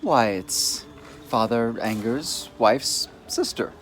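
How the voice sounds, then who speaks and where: Man acting a shy donnish bachelor in a book drama, mild but very varied pitch. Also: expressive, slow pace, a bit bright, a little hiss or hum. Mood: politely hesitant. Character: reserved, naive, bookish bachelor anthropologist. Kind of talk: dramatized reading of a novel.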